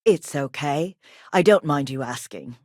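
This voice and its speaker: Expressive, earnest woman historian, low-voiced. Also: measured pace, no room sound, studio quality. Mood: reflective, wistful. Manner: articulate, earnest, polite. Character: earnest, gracious woman historian and teacher on a colony ship. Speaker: video game character voice actor. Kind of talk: scripted game character dialogue.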